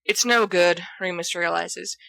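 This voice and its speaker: A woman reading a scripted story aloud as narrator, with expressive delivery. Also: fairly low pitch, a little slow, gravelly, no room sound. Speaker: audiobook reader of fan fiction.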